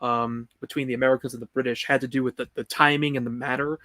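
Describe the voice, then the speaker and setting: A man guest explains history at a steady, measured pace. His voice stays level with little melody, and the sound is dry and close, with no room ring. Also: medium pitch, no room sound. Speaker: guest writer. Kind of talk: casual live-stream discussion.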